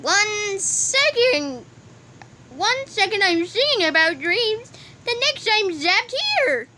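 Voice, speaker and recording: Woman as squeaky excitable cartoon talking object. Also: takes it slowly, exaggerated voice. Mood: excited. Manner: exclamatory, theatrical. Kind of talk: amateur comic dub cartoon acting. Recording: hissy home recording.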